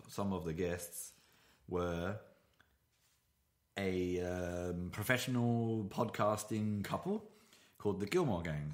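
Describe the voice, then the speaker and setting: A man hosting a podcast from home, talking casually on one shared mic, rambling and correcting himself with dry humour. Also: low-pitched. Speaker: podcast host. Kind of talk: casual chat. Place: home apartment. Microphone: single shared microphone.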